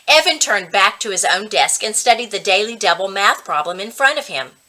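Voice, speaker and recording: A woman reading a children's story aloud as an expressive narrator, with dramatic pauses and stretched emphasis on key words. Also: mid-range voice.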